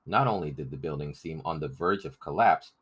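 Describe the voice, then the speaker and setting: Deep, husky male storyteller. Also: lively intonation, a little fast, a bit bright. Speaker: audiobook narrator. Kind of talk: scripted story narration.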